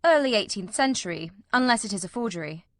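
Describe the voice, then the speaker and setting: A shrewd gentleman detective of classic storybook fiction as put on by a woman reader. The delivery is brisk, exact and commanding, with dry wit. The sound is close and dry, at a medium pitch for a female voice. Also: lively intonation, dry recording. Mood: self-assured. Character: shrewd, self-assured, dryly witty male detective. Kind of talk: audiobook character voice.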